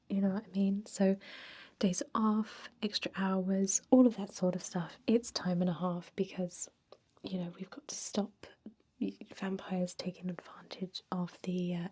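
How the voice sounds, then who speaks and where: Woman as a softly whispering, chatty vampire interviewer. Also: mid-range voice. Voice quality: soft, breathy whisper. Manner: gentle, chatty, encouraging. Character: friendly, chatty 250-year-old female vampire interviewing a recruit. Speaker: job interviewer. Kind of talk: whispered ASMR roleplay.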